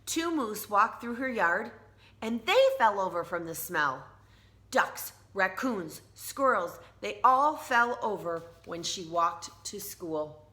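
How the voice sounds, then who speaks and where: A woman teacher reading a storybook aloud to children, expressive and speaking to them directly, with drawn-out emphatic words. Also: medium pitch.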